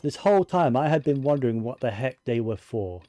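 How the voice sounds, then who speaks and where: A man's voice: mid-range voice, lively intonation, fairly quick, warm tone, dry recording. A man giving casual video game commentary, musing and thinking aloud to himself as he plays.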